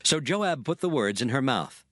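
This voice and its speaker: A man's voice: mid-range voice, animated, normal speed, a bit bright, dry recording, studio quality. A man narrating scripture from a script in a solemn, formal manner.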